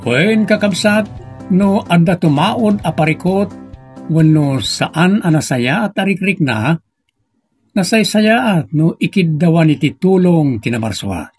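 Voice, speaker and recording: A man's voice: a little high, animated, measured pace, husky, no echo, soft background music. A man with an Ilocano accent delivering scripted moral advice to listeners as a drama narrator, earnest and exhorting.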